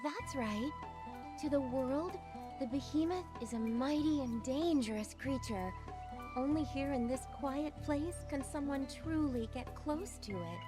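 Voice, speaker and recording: High-voiced, gentle wandering bard. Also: female voice, lively intonation, over music. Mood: wistful, tender. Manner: lyrical, unhurried storytelling. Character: gentle wandering female bard, poetic and serene. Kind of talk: scripted video game voice acting.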